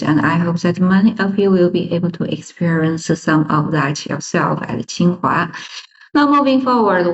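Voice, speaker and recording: A woman presenter giving a scripted promotional presentation. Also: on the low side. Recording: echoey room, dull lo-fi sound.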